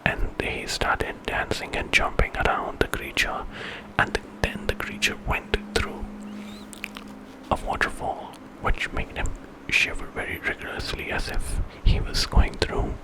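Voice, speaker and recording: A man's voice: a little slow, poor audio. A man telling a story in whispered ASMR style, informally, as if making it up with casual asides.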